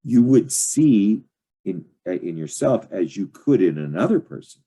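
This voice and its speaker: An older man lectures informally to a study group in a dark, mellow, husky voice. His intonation moves around a lot. He speaks haltingly, wandering off and leaving sentences unfinished. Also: on the low side, big pitch swings. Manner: halting, digressive delivery with trailing, unfinished sentences. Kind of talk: informal lecture, reading and commenting on a text.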